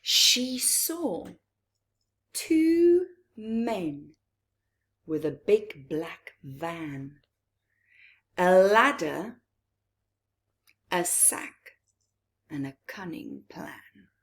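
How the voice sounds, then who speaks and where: A woman reading a picture book aloud to children, deliberate and expressive, with long pauses and small asides. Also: fairly low pitch. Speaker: children's storytime reader.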